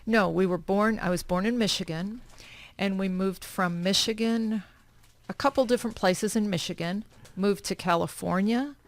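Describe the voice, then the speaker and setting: Gravelly-voiced woman telling her story. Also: mid-range voice, moderate pace, dry recording. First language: German. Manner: short, matter-of-fact answers. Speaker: nonprofit founder, interview guest. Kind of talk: radio interview, personal life story.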